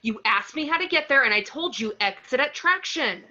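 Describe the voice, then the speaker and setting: A woman playing a feisty, determined superhero wife and mother in a dramatic read of an animated film script, forceful and insistent. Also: sits high, moderate pace, highs cut off, full character voice. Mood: exasperated.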